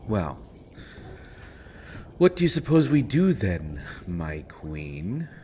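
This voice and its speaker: A man playing a sardonic imprisoned assassin in a roleplay audio drama, speaking deliberately with wry mock courtesy and pointed pauses. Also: deep voice, very animated, dark tone, a little hiss or hum, heavily acted. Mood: cool, defiant. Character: sardonic imprisoned male assassin, principled, coolly amused. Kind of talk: scripted roleplay audio drama, addressed to listener.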